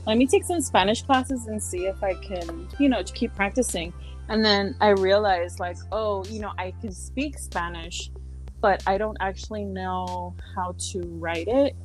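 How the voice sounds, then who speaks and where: A young adult woman from San Diego, a social worker talking casually as a podcast guest, with soft laughs and many fillers. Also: medium pitch, a little slow, faint music behind, poor audio. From San Diego, California. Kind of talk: casual interview chat.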